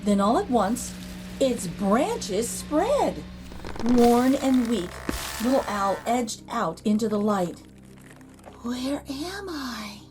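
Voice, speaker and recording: Slow, animated female story reader for children, fairly high voice. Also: over music. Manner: slow, expressive storytelling with lively pitch. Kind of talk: picture book read-aloud.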